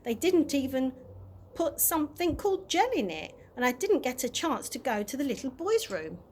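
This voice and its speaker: A woman's voice: high-pitched, very animated, moderate pace, darkish tone, a little hiss or hum. A woman voicing a courteous, cheerful old male tramp who is chatty and oblivious in a storybook reading. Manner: courteous, chatty, proudly well-mannered.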